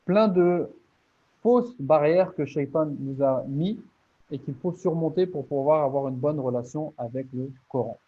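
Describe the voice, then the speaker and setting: A man with a fairly high voice presents his course in an earnest, encouraging way, laying out each step and often restarting a phrase mid-thought. The recording sounds a little dull and muffled. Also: unhurried. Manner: earnest, motivating, explains step by step with many restarts. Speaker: Islamic teacher presenting his online course.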